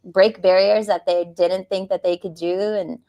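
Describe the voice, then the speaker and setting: Chatty woman, mid-pitched. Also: steady tone, moderate pace, mellow. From West Texas. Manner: chatty, laughs often. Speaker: riding academy coach and guest. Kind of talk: casual interview chat. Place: motorcycle dealership.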